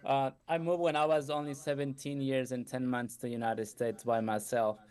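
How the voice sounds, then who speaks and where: A man's voice: mid-range voice, level tone, moderate pace, a little mellow, smooth. Chatty Ecuadorian-accented businessman. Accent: Ecuadorian Spanish. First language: Spanish. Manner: chatty, laughs often, says "you know" a lot. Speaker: guest entrepreneur. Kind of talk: casual interview storytelling.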